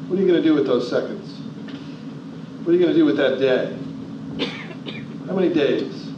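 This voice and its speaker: A man's voice: a little high, normal speed. A man in his forties from New Jersey, giving a motivational talk with emphatic rhetorical questions and shifting pace. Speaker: motivational speaker. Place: large hall. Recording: echoey, distant, dull hall recording with noise.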